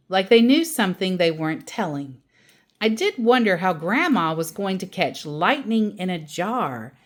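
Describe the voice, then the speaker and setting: Slow, lively woman storyteller. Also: on the low side. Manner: acts out quoted dialogue expressively. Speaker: storyteller reading a children's book aloud. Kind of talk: animated read-aloud storytelling.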